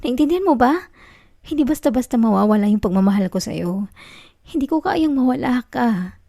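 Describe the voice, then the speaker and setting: A woman with a Filipino accent acting a possessive, clingy young student girlfriend in an intimate ASMR roleplay, speaking softly and pleadingly. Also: a little high, lively intonation, moderate pace, vocal fry, no echo, studio quality. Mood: desperate, pleading.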